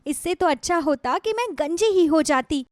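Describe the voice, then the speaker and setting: Woman voicing a plaintive, high-pitched young woman. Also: expressive, normal speed, a little mellow, no echo. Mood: dejected, plaintive. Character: sad, anxious young woman with five braids. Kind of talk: Hindi moral story cartoon acting.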